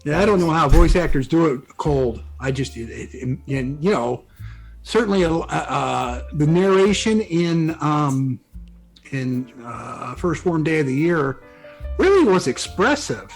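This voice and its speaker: A man speaking as a guest in casual conversation, halting and repeating words as he thinks. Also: fairly high pitch, big pitch swings, gravelly, slightly rough, soft background music, low-quality recording. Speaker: guest author.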